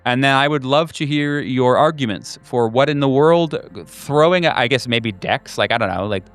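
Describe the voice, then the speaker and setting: A man working as game master, improvising narration for a horror tabletop game in a halting, informal way. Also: mid-range voice, no echo. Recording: music behind the voice. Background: background music.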